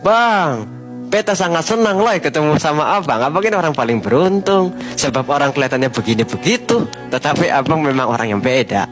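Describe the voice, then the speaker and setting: A man putting on the loud, warm voice of a Maluku island man welcoming a guest, with a Maluku accent. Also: brisk, close to an everyday voice. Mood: excited, warm. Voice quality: loud, high and lively. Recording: music playing behind.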